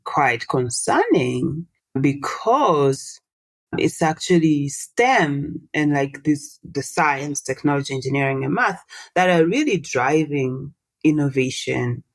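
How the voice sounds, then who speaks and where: Low-voiced woman, animated and explanatory. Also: slow, no room sound. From Tanzania. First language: Swahili. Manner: animated, explains with examples and rhetorical questions. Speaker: guest, social entrepreneur. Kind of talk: casual interview conversation.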